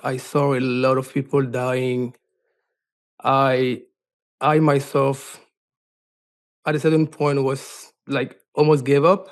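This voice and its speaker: A man with a Cameroonian accent telling a personal story as an interview guest, haltingly, often dropping in "like". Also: mid-range voice. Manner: halting, frequent "like" as filler. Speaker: podcast guest, life coach and advocate.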